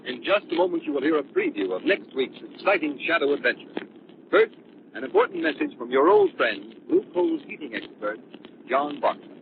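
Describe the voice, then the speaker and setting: A man's voice: on the high side, huge pitch swings, fairly quick, gravelly. A man announcing in the scripted style of a 1930s radio programme. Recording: tinny, hissy 1930s radio broadcast.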